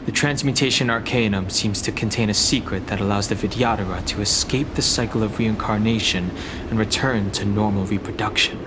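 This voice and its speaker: A man's voice: lowish voice, fairly quick, background noise, lightly acted. A man voicing a cool, solemn young dragon warrior in a video game, reserved and grave. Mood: pensive. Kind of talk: video game character acting.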